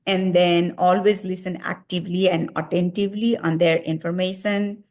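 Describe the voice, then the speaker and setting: A woman's voice: lowish voice, little pitch movement, normal speed, darkish tone. A woman in her thirties from Nepal with a Nepali accent, giving casual advice and leaning often on "you know" and "like.". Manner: heavy use of fillers "you know" and "like".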